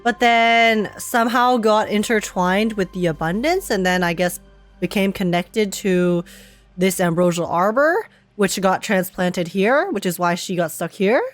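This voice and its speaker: A woman's voice: mid-range voice, a little slow, no room sound, faint music behind. A woman gaming streamer giving casual reaction commentary, slangy and thinking aloud.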